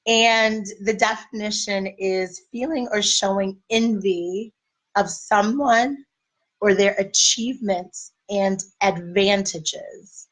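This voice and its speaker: A woman in her thirties, a life and marriage coach, giving a casual, encouraging talk to wives. Her voice is a little raspy. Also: medium pitch, takes it slowly, rough recording. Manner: conversational, encouraging, faith-based. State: slightly raspy voice.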